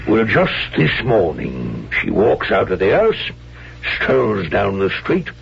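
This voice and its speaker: A sly hired henchman in a vintage radio drama, his voice gravelly and lively, swinging about in pitch. He talks in folksy, unschooled grammar. The old recording is dull, with a faint hum. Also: takes it slowly, exaggerated voice. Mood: sly, pleased. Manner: folksy, ungrammatical speech. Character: uneducated, sly male henchman who spies for hire. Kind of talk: 1970s radio drama acting.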